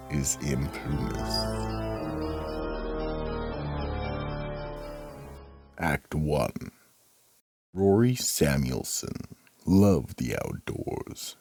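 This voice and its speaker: A man playing an ominous vault keeper who slowly and dramatically narrates an old-time radio mystery, with a deep, gravelly voice. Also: dry recording, full character voice. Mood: ominous, theatrical. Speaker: host and narrator of a horror audio drama.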